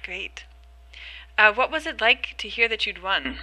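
A woman's voice: mid-range voice, lively intonation, measured pace, sharp tone, poor audio. A woman interviewing a guest on a podcast, brief and encouraging. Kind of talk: interview questions.

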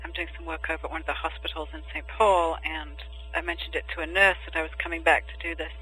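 A man who is a poet, answering podcast interview questions in a thoughtful, hesitant way with many pauses and restarts. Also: a little high, level tone, sharp tone, soft background music, rough recording. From England and Germany.

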